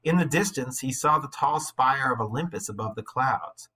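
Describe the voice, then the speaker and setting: A man's voice: medium pitch, animated, moderate pace, pushed and bright, husky. A man reading a story aloud expressively as an English teacher, with dramatic, emphatic phrasing and explanatory asides. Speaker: high school English teacher.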